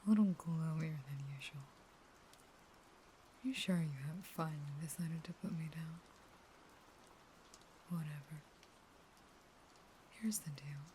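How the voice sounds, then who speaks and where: A woman playing a caged, starving vampire in an intimate audio roleplay, half-whispering in a slow, taunting, pleading and unstable way. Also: sits low, warm tone, slight background noise. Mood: menacing, sly.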